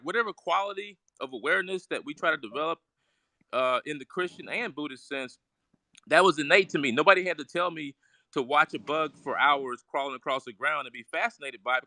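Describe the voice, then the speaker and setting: A man's voice: on the high side, fairly quick, pushed and bright, no echo. A man in casual live-stream chat, rambling and reflective, laughing often. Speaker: doctor.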